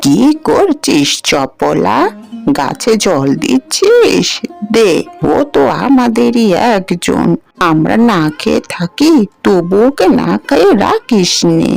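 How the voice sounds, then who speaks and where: A woman voicing a poor, kindly old mother in a cartoon fairy tale, plaintive and gentle. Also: medium pitch, very animated, takes it slowly, gravelly, faint music behind, exaggerated voice.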